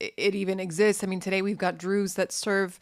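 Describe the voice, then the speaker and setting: A woman's voice: mid-range voice, flat delivery, quick pace, smooth. A woman hosting an interview podcast, asking thoughtful questions with hesitant, stammered restarts. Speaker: podcast host.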